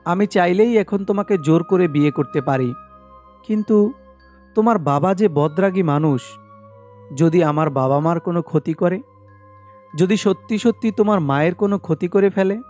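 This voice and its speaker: A man's voice: high-pitched, a little mellow, natural voice. A man voicing earnest, troubled young village friends in sentimental cartoon dialogue. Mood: anguished.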